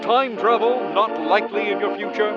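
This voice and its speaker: A man's voice: high voice, fairly even intonation, normal speed. A man reading a playful, theatrical promo for a radio show. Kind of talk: scripted promo. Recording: muffled voice over loud organ music.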